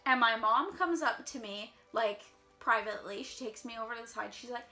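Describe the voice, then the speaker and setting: A young woman in a casual vlog, rattling through a personal story while doing her makeup. Her voice sits a bit high and sounds bright and a little stuffy. A faint music bed plays under her. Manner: chatty, fast-flowing, heavy use of "like". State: slightly congested. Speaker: vlogger.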